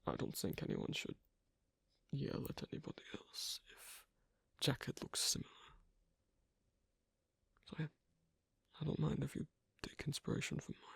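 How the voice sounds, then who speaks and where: A performer voicing a guarded, shyly affectionate werewolf on a date in an ASMR roleplay, speaking in a husky, breathy whisper with a halting, hesitant delivery. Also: medium pitch, wildly swooping pitch, dry recording, studio quality, exaggerated voice. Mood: reserved, gently advising. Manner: halting, soft-spoken, hesitant. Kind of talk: ASMR roleplay, one-sided intimate conversation.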